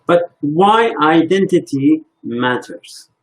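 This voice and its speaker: Slow, halting man. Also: on the high side, lively intonation, rough recording. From Iran. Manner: slow, halting, thinking aloud. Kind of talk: reflective religious talk.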